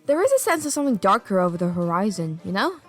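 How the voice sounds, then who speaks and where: A woman's voice: medium pitch, very animated, fast, faint music behind, natural voice. A woman playing a prim, self-assured, bookish kid in a fantasy audio drama, speaking formally and precisely. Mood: earnest. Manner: formal, precise, matter-of-fact.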